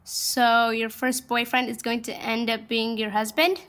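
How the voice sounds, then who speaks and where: A woman's voice: on the high side, level tone, a little slow, a bit bright. A young woman playing a pushy, encouraging teenage girl, the best friend in a student audio drama, insistent and coaxing. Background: music behind the voice.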